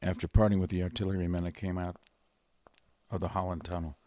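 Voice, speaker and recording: An actor narrating as a solemn professor in a 1930s radio drama, measured and reflective. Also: male voice, deep voice, little pitch movement, dark tone, dry recording, close to an everyday voice. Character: grave middle-aged male professor, survivor. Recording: vintage 1930s radio broadcast.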